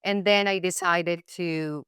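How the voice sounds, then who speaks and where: Kobieta o niskim głosie z kolumbijskim akcentem. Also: female voice, on the low side, steady tone, takes it slowly, a bit bright, smooth, dry recording, clean studio sound. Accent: Colombian Spanish. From Colombia. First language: Spanish. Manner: reflective, many fillers and restarts. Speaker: guest actress. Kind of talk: casual interview chat.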